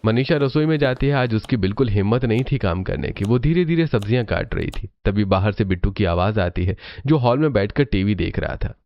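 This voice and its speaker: A man's voice: fairly low pitch, lively intonation, fairly quick, hardly any pauses, dull audio. A man reading scripted storybook narration for a cartoon.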